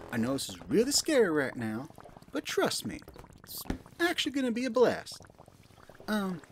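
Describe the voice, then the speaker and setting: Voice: mid-range voice, slight background noise. An amateur actor voicing a flirty, motherly pink balloon elephant who serves at a soda bar, with a folksy, coaxing manner in an audio play. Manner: folksy, coaxing, calls listener sweetie and dear. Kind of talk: amateur audio play, second-person roleplay monologue.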